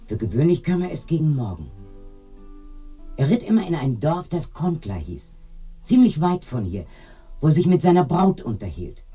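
Radio drama village widow: deeply mellow, breathy, eerie, confiding, with lively melodic swings. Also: female voice, normal speed, long pauses, faint music behind, exaggerated voice. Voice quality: dark, husky. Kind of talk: binaural radio drama acting.

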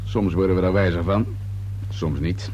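An actor in a radio play playing a persistent police inspector, probing and unruffled, with a dry sardonic edge. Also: male voice, deep voice, steady tone, moderate pace, a little mellow, lo-fi sound, natural voice. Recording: radio play recording with hiss and hum.

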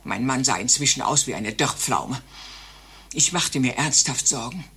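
An actress playing a chatty elderly widow, husky and gossipy, telling exaggerated tales in a satirical radio play. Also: female voice, very deep voice, moderate pace, sharp tone, exaggerated voice. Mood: indignant, aggrieved.

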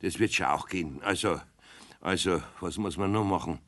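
An actor playing an older, good-natured but grumbling master carpenter with a Bavarian accent in a children's radio play. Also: male voice, deep voice, normal speed, a bit bright. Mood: instructing. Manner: grumbling, sighing.

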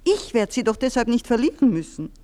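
An older noblewoman coaxing and pleading in a mellow, warm-toned voice. Her pitch rises and falls lively as she tries to calm things down. A radio-play baroness, anxious and placating. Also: brisk. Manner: soothing, placating, then pleading.

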